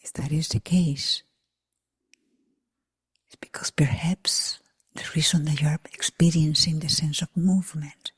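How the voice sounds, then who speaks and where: A woman leading a guided meditation for a group in a hushed, breathy near whisper, unhurried, with long pauses between short phrases. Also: deep voice, steady tone, dry recording, clean studio sound. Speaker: meditation teacher.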